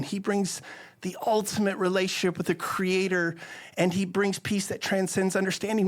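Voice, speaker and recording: Earnest male interview guest. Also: high voice. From Netherlands. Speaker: interview guest, missions organization leader. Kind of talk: conversational testimony and preaching.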